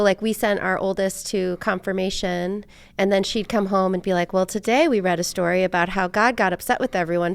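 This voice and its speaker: A woman with a clear but creaky voice hosts a casual conversation. She talks informally and anecdotally, in a chatty style, and the sound is close and dry. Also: mid-range voice, no echo. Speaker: podcast host.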